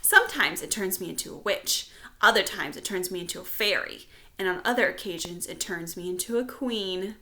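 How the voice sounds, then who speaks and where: A woman's voice: mid-range voice, fairly quick, pushed and bright, natural voice. A woman voicing a small, whimsical, kindly old lady from a children's storybook, playful and matter-of-fact.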